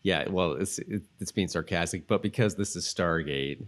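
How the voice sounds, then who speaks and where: A man with a low-pitched voice chats as co-host of a rewatch podcast. He is informal and rambling, working out his thoughts in loose fragments as he speaks. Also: normal speed. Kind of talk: casual chat, commentary on a TV show.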